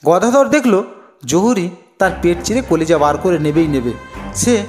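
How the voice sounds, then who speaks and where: A woman's voice: on the low side, lively intonation, moderate pace, a little mellow. A woman narrating a scripted folk tale as a storyteller for a cartoon. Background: music.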